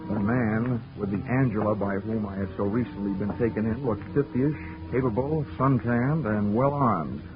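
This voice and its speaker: An actor playing a hard-boiled insurance investigator, deadpan and wisecracking, in 1940s radio detective style. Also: male voice, on the low side, normal speed, few pauses, close to an everyday voice. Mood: wry narration. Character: wry, hard-boiled insurance investigator narrating his case. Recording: muffled 1940s radio recording with music.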